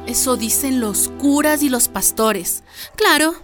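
A woman's voice: high voice, measured pace, music in the background. A woman playing an outspoken, practical neighbour and friend in a radio drama. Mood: firm, persuasive.

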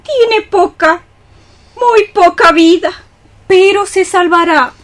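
A woman's voice: very high-pitched, slow. An actress playing a grey-haired, protective mother cockroach in radio theatre, declaiming with fiery melodrama. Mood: pitying, lyrical.

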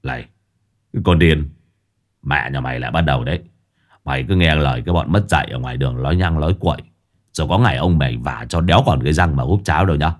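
A man's voice: a bass voice, fast, pitched below the performer's own voice. A man doing a crude, slurring opium-addict husband. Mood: crude threatening. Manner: coarse, slurred, threatening. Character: rough foul-mouthed opium-addicted husband.

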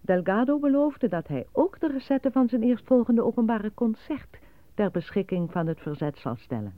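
A woman's voice: fairly high pitch, sing-song, moderate pace. A woman playing a formal radio newsreader delivering a scripted bulletin, heard as through a radio. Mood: formal, neutral. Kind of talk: formal scripted news reading. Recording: dry, narrow-band radio sound.

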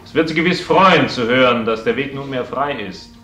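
Actor as a forceful, pompous magnate, echoing. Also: male voice, mid-range voice, normal speed, soft background music, natural voice. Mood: triumphant, pompous. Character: grandiose, domineering male corporate magnate addressing staff. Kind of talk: radio play speech. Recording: dull, echoing room sound.

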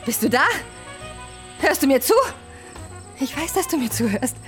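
An actress playing an exasperated, foul-mouthed blonde female film star with a lonely streak in a radio drama. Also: sits high. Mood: lonely, bitter. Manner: volatile, swearing.

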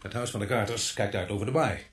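An actor playing a brusque, shrewd police sergeant in a radio play, with a gruff, bossy way of explaining things. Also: male voice, lowish voice, fast, roomy sound, soft background music, natural voice. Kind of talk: Dutch radio play acting.

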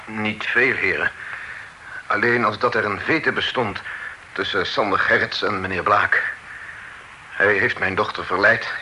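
A man's voice: lowish voice, fairly even intonation, measured pace, long pauses, bright, forceful tone, a little hiss or hum, poor audio. An actor playing a grieving father who testifies in a grave, restrained way. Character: grieving father, a solemn witness. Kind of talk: period radio drama acting.

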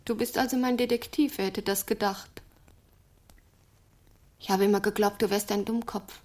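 An actress playing a traumatized, bitter woman confiding in an old friend in a German audio drama, breathy and halting, often slipping toward a whisper. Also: mid-range voice, fairly even intonation, a little mellow, natural voice. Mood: bitter affection.

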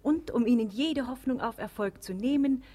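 A self-possessed, defiant daughter played by a woman with a fairly high voice. She speaks with measured, deliberate calm in a radio comedy. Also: natural voice. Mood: coolly defiant. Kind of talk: German radio play comedy acting.

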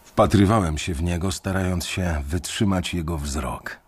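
Husky low-voiced male radio narrator. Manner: measured, brooding first-person telling. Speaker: narrator and main character.

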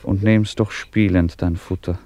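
A man narrating a literary radio drama in a measured, formal way. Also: sits low, fairly even intonation, warm tone.

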